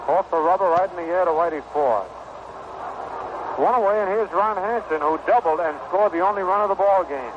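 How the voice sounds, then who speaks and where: A muffled, heavily noisy vintage radio broadcast carries an American man's play-by-play. His voice sits a little high, and he calls baseball in short, clipped descriptive phrases, as a 1960s sports announcer. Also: a little fast. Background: loud ballpark ambience and static.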